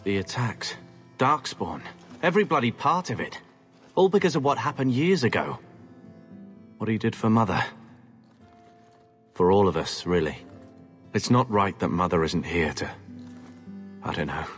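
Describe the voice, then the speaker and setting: Low-voiced plainspoken brother. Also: male voice, sing-song, moderate pace, pauses often, faint music behind. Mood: wistful, brooding. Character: gruff younger soldier brother, plainspoken, wistful. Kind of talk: naturalistic video game acting.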